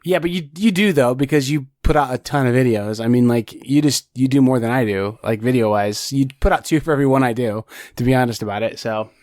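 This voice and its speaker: A man's voice: mid-range voice, brisk, hardly any pauses, darkish tone, no room sound, studio quality. A man co-hosting a board game podcast, talking casually and rambling with plenty of restarts. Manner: rambling, many restarts and fillers. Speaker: podcast co-host. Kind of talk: casual chat.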